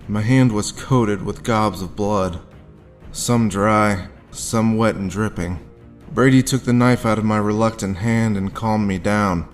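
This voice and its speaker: An actor playing a grim, haunted war veteran, telling his story deliberately in a noir audio drama. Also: fairly low pitch, faint music behind, natural voice. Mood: grim, tense. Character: haunted hard-boiled male war veteran recounting his grim past.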